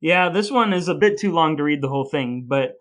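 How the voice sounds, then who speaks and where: A man's voice: on the high side, expressive, brisk, a bit bright. A man hosting a podcast, telling stories casually with a wry, playfully sarcastic manner. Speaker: podcast host. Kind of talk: casual storytelling talk.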